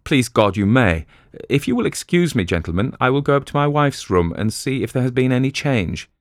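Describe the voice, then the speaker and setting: A man's voice: medium pitch, very animated, a little fast, no echo, studio quality, voice pushed up. Raised, strained husband figure, begging for answers voiced by a male reader. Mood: anxious. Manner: urgent, pleading. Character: distraught middle-aged husband, pleading and desperate.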